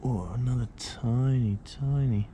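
A young man foraging in woodland, quietly muttering amazed remarks into a camera he carries. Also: mid-range voice, fairly even intonation, measured pace, a little hiss or hum. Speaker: forager vlogger. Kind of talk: casual walk-and-talk narration. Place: outdoors in woodland. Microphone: action camera worn or handheld.

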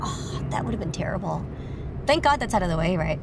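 A woman's voice: on the low side, animated, fairly quick, creaky, noisy background. An actress playing a bitter, sarcastic young woman with a vengeful streak in an audio drama, with a biting manner. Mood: bitter sarcasm. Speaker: actor in a scripted podcast. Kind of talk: scripted audio drama acting.

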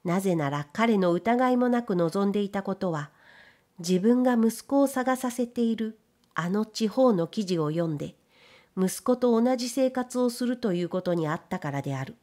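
Measured female audiobook narrator. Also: medium pitch, expressive, mellow. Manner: measured storytelling. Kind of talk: scripted literary narration.

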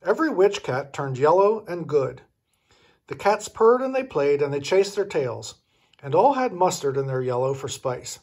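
A man's voice: on the high side, sing-song, normal speed, a little mellow. An older man reading a children's storybook aloud expressively, as a grandfather would.

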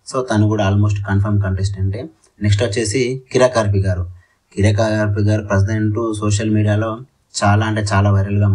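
Low, flat-voiced Telugu man, distant mic. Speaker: YouTube channel host. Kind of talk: entertainment news update voice-over.

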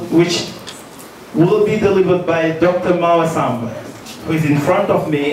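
Senegalese man reading a formal welcome speech in an echoey hall. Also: on the high side. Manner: measured, ceremonious, reading aloud. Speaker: association president. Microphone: handheld mic, filmed from a distance. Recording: echoey hall, distant, faint noise.

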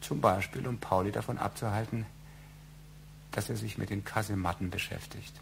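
Radio drama husband: middle-aged, gravelly, deliberate, formal, slyly needling and evasive. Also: male voice, on the low side, a little hiss or hum. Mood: insinuating. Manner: deliberate, insinuating, formal wording. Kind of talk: German radio crime drama acting.

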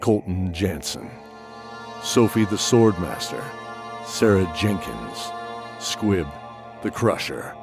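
A man narrating a fantasy audio drama in a dramatic scripted style. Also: deep voice. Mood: announcing. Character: storyteller narrating epic fantasy battles. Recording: loud music under voice. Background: loud music.

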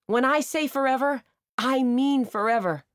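A woman reading the part of an impulsive, slightly drunk man who loudly proposes marriage, speaking with theatrical force. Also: on the high side, moderate pace, no room sound, studio quality, lightly acted. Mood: shouting. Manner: loud, theatrical shouting.

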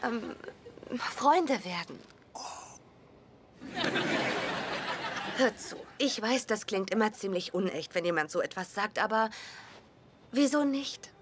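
A woman dubbing a friendly but guarded young woman who talks gently and hesitantly, in a German sitcom dub. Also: medium pitch, animated, unhurried, noisy background, natural voice. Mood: apologetic. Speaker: dubbed sitcom lead.

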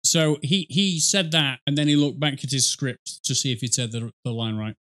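A man's voice: mid-range voice, steady tone, moderate pace, noisy background. A middle-aged man giving casual, sarcastic, nitpicky reaction commentary with some swearing. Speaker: reaction streamer. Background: game and cutscene audio with music.

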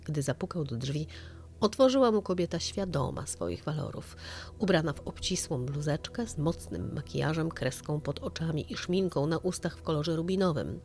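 A woman's voice: low-pitched, darkish tone. A woman narrating a scripted true-crime story in an audio drama style.

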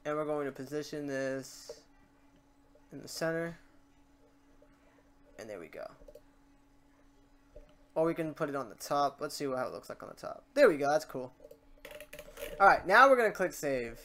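An informal tutorial host talking through software steps casually, muttering asides and self-corrections while working. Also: medium pitch, lively intonation, moderate pace, long pauses. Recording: noisy room, keyboard typing and clicks. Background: keyboard typing, mouse clicks, background noise.

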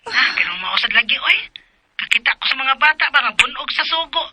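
Helpful cebuano friend, really high voice, noisy phone sound, radio drama. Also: female voice, lively intonation, brisk. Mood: concerned. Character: concerned female confidante reporting news, helpful.

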